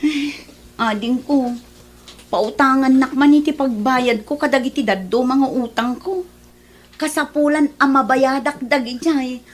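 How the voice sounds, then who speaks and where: A woman with an Ilocano accent playing a vain, spendthrift working wife deep in debt in a comedy radio drama. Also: a little slow. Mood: pleading.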